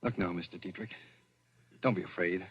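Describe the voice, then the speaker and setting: An actor playing a driven, insistent man on the run, accused of murder, in a husky voice in 1940s radio drama style. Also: deep voice, fairly quick. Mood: intense, insistent. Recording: dull lo-fi old radio recording.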